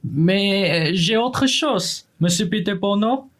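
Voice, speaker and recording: A young apprentice crook played by a young woman, earnest and hesitant. The lines come very slowly at a medium pitch, in a radio farce style. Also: animated, soft background music. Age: young adult. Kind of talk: French stage farce performed as radio play.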